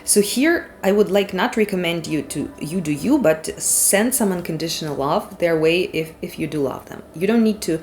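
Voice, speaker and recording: A woman's voice: fairly low pitch, animated, normal speed, hardly any pauses, darkish tone, soft background music. A woman from Ukraine with a Ukrainian accent, doing a casual tarot reading in English as a non-native speaker, rambling and frank with casual swearing. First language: Ukrainian or Russian. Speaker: tarot reader.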